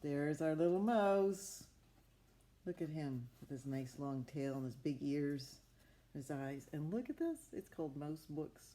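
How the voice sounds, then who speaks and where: A woman's voice: sits low, lively intonation, a little slow, mellow. A woman improvising a story from a picture book, talking expressively and with wonder, as if to young children. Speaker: storybook narrator.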